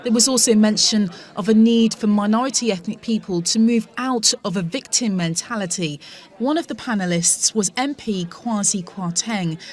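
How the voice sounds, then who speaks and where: A woman reporter narrates a broadcast news piece. Her delivery is measured and scripted, voice at an ordinary middle pitch.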